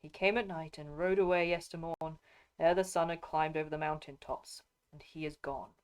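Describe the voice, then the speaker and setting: A woman's voice: low-pitched, fairly even intonation, normal speed, clear, resonant tone, lightly acted. A woman reading as a sorrowful noblewoman. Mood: subdued. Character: grieving young noblewoman, quiet and restrained. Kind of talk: reading a novel aloud.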